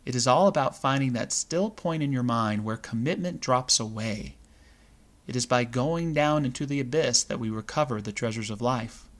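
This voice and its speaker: A man with a mid-pitched voice leads a guided visualization meditation. He delivers it in measured, deliberate phrases, leaving space between them as a meditation guide does.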